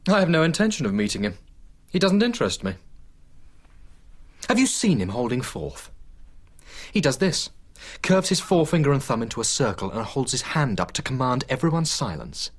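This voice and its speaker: An actor playing a reserved, jealous young gentleman with a hesitant, evasive manner in a radio drama. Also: medium pitch, very animated, normal speed. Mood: scornful, jealous. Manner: hesitant, guarded.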